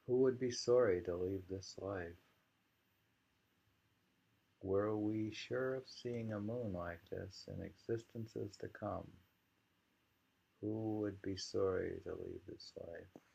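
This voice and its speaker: A man reading poems aloud in a slow, halting, musing way, repeating lines and adding informal, rambling commentary, on a dull lo-fi recording. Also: lowish voice, gravelly, warm tone. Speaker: reader and commentator of poetry.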